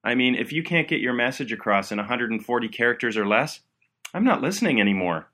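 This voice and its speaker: A man's voice: medium pitch, animated, fast, sharp tone. Upbeat man hosting a medical podcast. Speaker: podcast host. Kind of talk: medical education podcast interview.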